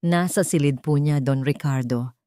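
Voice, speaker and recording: A woman's voice: low voice, moderate pace, warm tone, no echo, studio quality, pitched below the performer's own voice, close to an everyday voice. A woman voicing a respectful household maid answering her employer in a narrated audio story. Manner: polite, respectful. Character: deferential household maid, polite and hushed.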